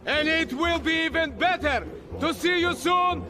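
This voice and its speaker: An actor playing a young, eager Soviet army captain who rallies his troops with shouted patriotic speeches. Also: very high-pitched, measured pace, a crowd in the background. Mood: rousing. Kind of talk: video game cutscene acting.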